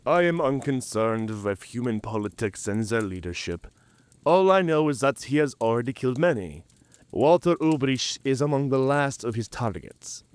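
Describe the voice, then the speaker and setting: A man's voice: mid-range voice, sing-song, moderate pace, clean tone, dry recording, close to an everyday voice. A man voicing a proud, cunning werewolf in an audio roleplay, speaking in formal, archaic, solemn phrases. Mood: blunt, wary. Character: savage, cunning male werewolf, proud, honourable in defeat. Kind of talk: cinematic audio roleplay acting.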